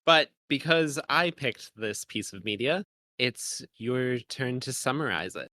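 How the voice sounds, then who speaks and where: A man speaks as a podcast host in a bright, crisp-edged voice. The sound is dry, with very little room around it, as he introduces things and chats with a co-host. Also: mid-range voice, lively intonation, measured pace, no echo.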